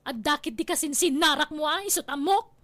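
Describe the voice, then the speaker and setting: A woman's voice: sits high, brisk, fairly bright tone, no echo. A woman acting a jealous, suspicious young wife in a Filipino radio drama, with an Ilocano accent and a pleading, nagging manner. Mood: angry, accusing.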